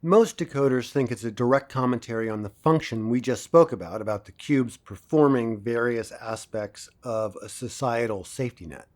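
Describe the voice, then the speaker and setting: A man playing an assured professor from a dystopian future, giving a measured, didactic classroom lecture with dry wit in an audio drama. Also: medium pitch, close to an everyday voice. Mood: didactic, wry. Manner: didactic, measured, dryly wry.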